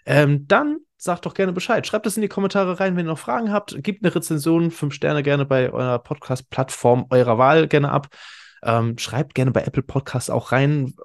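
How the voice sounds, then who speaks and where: Enthusiastic man hosting, slightly high voice. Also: normal speed, gravelly. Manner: enthusiastic, colloquial, youthful slang. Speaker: podcast host. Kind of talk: casual podcast chat.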